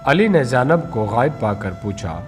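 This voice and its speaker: A man reading a scripted moral story for children as a storyteller, in a measured read-aloud manner. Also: mid-range voice, lively intonation, soft background music.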